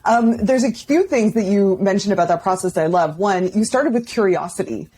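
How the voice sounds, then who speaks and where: Husky-voiced woman podcast host. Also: mid-range voice, brisk, mellow. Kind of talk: casual interview chat.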